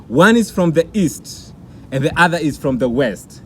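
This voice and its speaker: A man's voice: on the high side, animated, moderate pace, a bit bright, a little hiss or hum. A man hosting a vlog, presenting formally and interviewing his guests. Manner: formal, announcer-like delivery. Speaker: vlog host.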